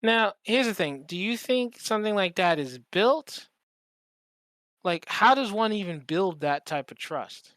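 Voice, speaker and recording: A man hosting a podcast in casual conversation, speaking informally and a little haltingly. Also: high-pitched, animated, fairly bright tone, no room sound. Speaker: podcast host.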